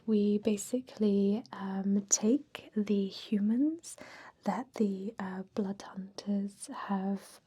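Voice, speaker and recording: A woman playing a chatty, laid-back, gossipy female vampire interviewer in an ASMR roleplay, in a soft whisper with an informal, confiding manner. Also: medium pitch, slightly rough.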